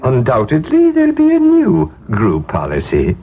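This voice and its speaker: An actor playing a polite, reassuring but slightly hesitant insurance agent in a 1970s radio drama. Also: male voice, mid-range voice, wildly swooping pitch, normal speed. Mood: placating, businesslike. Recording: thin, phone-like old radio recording.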